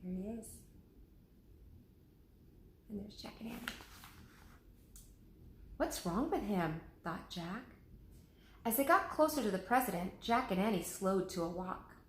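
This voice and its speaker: A woman, a teacher, reading a children's chapter book aloud with lively, expressive voices. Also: medium pitch, normal speed, pauses often, echoey room, a little hiss or hum.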